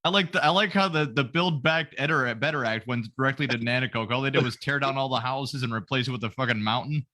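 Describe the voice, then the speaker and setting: A man's voice: medium pitch, fast talker, bright, forceful tone. A man co-hosting a podcast in casual chat, rambling through crude roast jokes. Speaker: podcast co-host.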